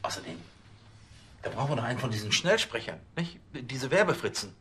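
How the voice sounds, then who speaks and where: An actor playing a pompous, easily exasperated police chief in a TV crime comedy. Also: male voice, medium pitch, very animated, fairly quick, a bit bright, slight background noise. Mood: irritated, bossy. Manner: pompous, theatrical.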